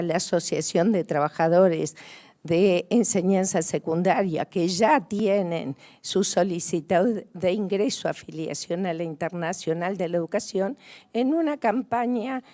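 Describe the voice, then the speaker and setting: A woman opening a press conference, introducing guests in a formal, measured way, with frequent hesitations. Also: on the low side, mellow.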